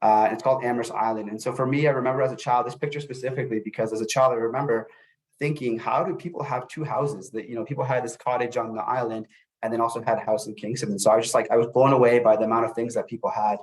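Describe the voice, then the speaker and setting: Middle-aged guy from el salvador doing a webinar talk, talks fast but halting, keeps repeating little words. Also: medium pitch, level tone, a little mellow, poor audio. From born in El Salvador, grew up in North America. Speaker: webinar presenter, youth services manager. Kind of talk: informal webinar presentation with personal story.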